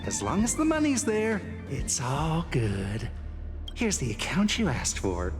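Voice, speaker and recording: Shady small-time game peddler, mid-range androgynous pitch, hugely animated, flattering, falsely humble, music behind. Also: a little slow. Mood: chummy, sly. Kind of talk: video game voice acting.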